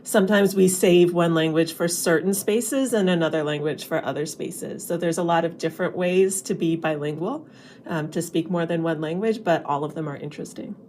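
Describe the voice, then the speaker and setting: A woman's voice: fairly low pitch, normal speed, a little mellow, a little hiss or hum. A woman linguistics professor explaining ideas conversationally to children, with casual fillers. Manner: chatty, with fillers.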